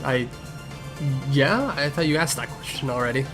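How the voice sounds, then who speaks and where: A man's voice: mid-range voice, lively intonation, moderate pace, lightly acted. A man voicing a grumpy, sarcastic blind young cat healer in a fan Q&A, with a curt, irritable and defensive manner. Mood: uneasy. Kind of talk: improvised in-character fan Q&A. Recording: music behind the voice.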